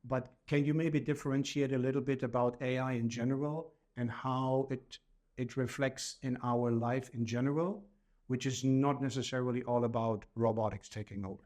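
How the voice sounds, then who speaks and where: A middle-aged or older man from Germany with a German accent, speaking in a formal, measured way as a podcast host. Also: medium pitch, fairly even intonation, a little mellow. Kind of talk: podcast introduction and interview questions.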